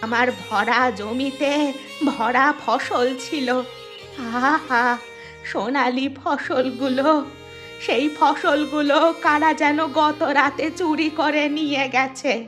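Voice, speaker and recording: Cartoon old village grandmother , female actor: high, slow, wailing and scolding, music behind. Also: steady tone, fairly bright tone. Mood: lamenting. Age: older. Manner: lamenting, drawn-out wailing and scolding. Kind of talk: Bengali moral-story cartoon voice acting.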